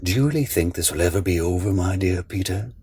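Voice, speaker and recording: Deep-voiced, sinister riddler. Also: male voice, lively intonation, fast talker, a little mellow, slightly rough. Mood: knowing, cryptic. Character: cryptic, sinister man speaking in riddles. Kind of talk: audio drama acting.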